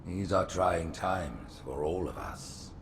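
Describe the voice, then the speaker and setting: A scheming old alien hierarch speaks with regal, theatrical pomp in a video game cinematic. The voice is low and creaky, swooping widely over background music. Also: male voice, lively intonation, noisy background, heavily acted. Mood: weary disdain. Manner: pompous, theatrical, measured. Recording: music behind the voice.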